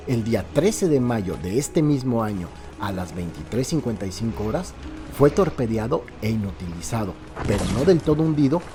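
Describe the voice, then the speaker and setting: A man with a Mexican accent narrates a crime radio serial as a dramatic scripted story, addressing listeners directly in a theatrical way. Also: medium pitch. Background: background music.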